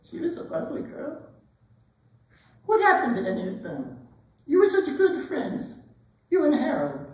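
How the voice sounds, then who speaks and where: Gentle, wistful elderly schoolteacher played by a woman, roomy. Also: sits high, huge pitch swings, measured pace, pauses often, heavily acted. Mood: fond, reminiscing. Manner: hesitant, musing, tender, asking questions. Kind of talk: dramatic stage reading. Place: indoor arts center stage. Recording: roomy, distant stage recording.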